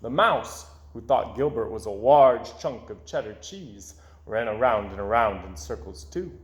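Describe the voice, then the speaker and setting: A man reading a children's storybook aloud in an expressive style, with dramatic pauses and drawn-out words. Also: fairly low pitch. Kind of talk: expressive read-aloud narration. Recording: roomy, echoing indoor sound.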